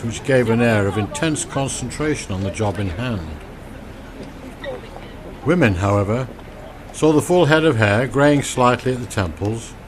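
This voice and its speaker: A man narrating a scripted radio drama in a theatrical, deliberate manner. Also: mid-range voice, noisy background.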